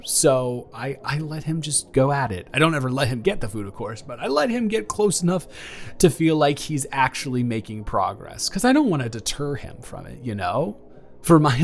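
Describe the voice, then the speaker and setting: A man playing an awkward, affectionate young ex-boyfriend in an improvised intimate audio roleplay, talking in a hesitant, playful, earnest ramble. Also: mid-range voice. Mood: playfully defiant.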